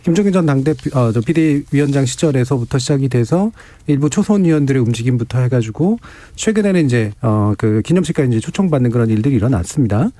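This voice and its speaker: A man's voice: mid-range voice, lively intonation, fast talker, hardly any pauses, warm tone, no echo. A male host moderating a radio debate in a studio, setting up questions and handing the floor to guests.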